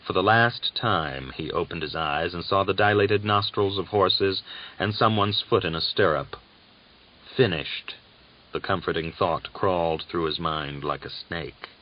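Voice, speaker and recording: A man narrating a novel as an audiobook, with a measured, deliberate and dramatic literary delivery. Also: low voice. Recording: dull, lo-fi audiobook recording.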